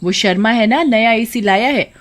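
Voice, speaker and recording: A woman voicing a pointed, sarcastic village wife in an animated story, given to scolding. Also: fairly high pitch, normal speed, voice pushed up, close to an everyday voice. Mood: nagging.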